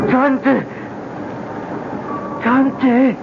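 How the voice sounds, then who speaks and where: High, creaky, frightened soul. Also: male voice, exaggerated voice. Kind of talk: 1970s radio drama acting. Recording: muffled, very noisy old radio recording.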